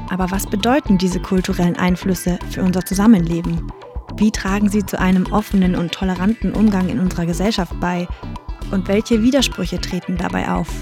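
A woman reading a scripted radio promo for a magazine show. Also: mid-range voice. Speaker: announcer. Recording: voice over music bed.

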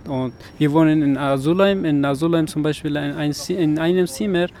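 A man from Afghanistan speaking haltingly at a street protest, often pausing to search for words. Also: on the high side, fairly even intonation, darkish tone. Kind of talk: street interview. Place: outdoors on a city street.

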